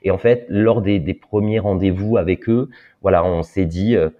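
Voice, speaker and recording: A man in his thirties, a guest telling his story in an interview, pausing often with fillers. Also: low voice, steady tone. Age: 30s. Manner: hesitant, many filler pauses. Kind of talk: personal interview talk. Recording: dull lo-fi sound.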